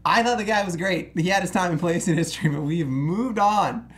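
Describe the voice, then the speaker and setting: A man's voice: high voice, brisk, fairly bright tone. A man co-hosting a casual panel chat, voicing strong opinions emphatically and swearing now and then. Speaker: talk show co-host.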